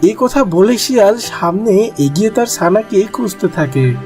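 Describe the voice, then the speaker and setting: A man's voice: high voice, animated, measured pace, darkish tone, faint music behind. A man narrating a scripted animal cartoon story as the storyteller.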